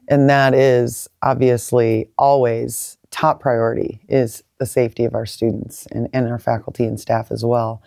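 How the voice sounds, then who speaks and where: A woman's voice: a bass voice, warm tone, no echo, clean studio sound. A woman who is a university president interviewing student leaders, encouraging them and often repeating words as she frames her questions. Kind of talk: campus interview.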